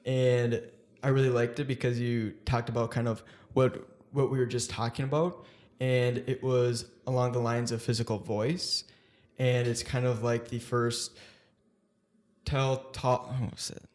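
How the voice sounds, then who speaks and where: A man's voice: medium pitch, flat delivery, a little slow, a little mellow. A young man hosting a podcast interview, talking hesitantly with many fillers. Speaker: podcast host.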